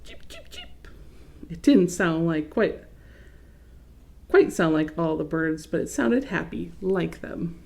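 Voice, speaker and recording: A woman reading a children's picture book aloud as host, expressive, with explanatory asides. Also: lowish voice, takes it slowly, vocal fry.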